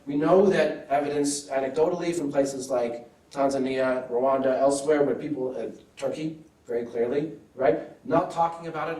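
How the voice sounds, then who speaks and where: A man's voice: mid-range voice, mellow. Man answering questions in a roomy hall. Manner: thoughtful, frequent "right?" tags. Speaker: author answering audience questions. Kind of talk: academic Q&A answers. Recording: roomy, mic at a distance.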